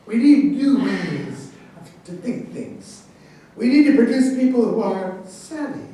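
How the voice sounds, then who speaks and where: High-pitched blustering president acted by a man, very echoey. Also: very animated, a little slow, mellow, heavily acted. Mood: proud, insistent. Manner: emphatic, boastful, dismissive. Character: blustering, defensive male president proud of absurd ideas. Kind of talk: staged reading of a satirical play. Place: echoey room, far from the microphone. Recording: very echoey, distant, noisy hall recording.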